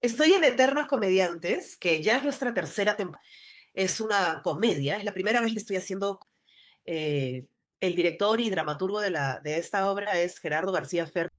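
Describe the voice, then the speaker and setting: Rambling Peruvian actress from Lima. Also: female voice, mid-range voice, animated, moderate pace. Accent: Lima Peruvian Spanish. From Peru. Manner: rambling, many restarts and fillers. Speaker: actress guest. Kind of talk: casual interview chat.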